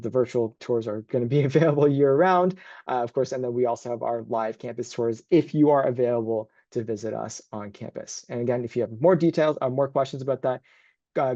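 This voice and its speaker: Mellow-voiced man presenting an online webinar. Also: mid-range voice, no room sound, lo-fi sound. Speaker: university admissions advisor hosting a webinar. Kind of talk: informal presentation with slides.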